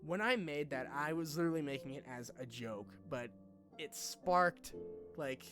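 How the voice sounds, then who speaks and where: A young man talking casually and unscripted like a YouTuber to followers, candid, self-deprecating and halting, with informal phrasing. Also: a little high, lively intonation, music in the background. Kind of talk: casual unscripted vlog talk.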